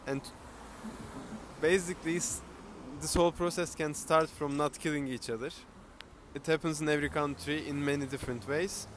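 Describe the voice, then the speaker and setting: A young man from Turkey speaking earnestly to camera on a city street, pausing often with fillers. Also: on the high side, steady tone, slight background noise. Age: young adult. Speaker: interviewee. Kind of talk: casual speech to camera. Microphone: handheld camera, close.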